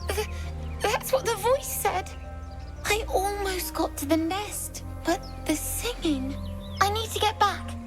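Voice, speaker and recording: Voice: very high voice, slow pace. An actor playing a frightened, earnest young child who speaks hesitantly, in a video game. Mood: shaken. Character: frightened young boy lured by enchanting song. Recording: music behind the voice.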